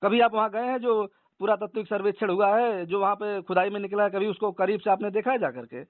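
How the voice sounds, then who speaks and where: A man's voice: high-pitched, fast, dry recording. A man argues insistently in a heated phone debate, repeating his points. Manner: argumentative, insistent, repeats himself. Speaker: organisation leader debating a caller. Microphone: phone line. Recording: tinny narrowband phone call recording.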